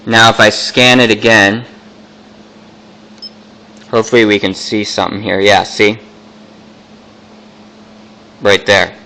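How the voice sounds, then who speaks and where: A man's voice: mid-range voice, a bit bright, clean tone, low-quality recording. A man giving an unscripted hands-on electronics demonstration, explaining things in a casual, matter-of-fact way.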